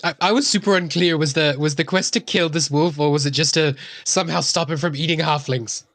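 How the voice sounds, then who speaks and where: A man's voice: fairly high pitch, fairly even intonation, brisk, fairly bright tone, dry recording. A man with a New Zealand accent banters casually as a tabletop game player, wry and mock-serious.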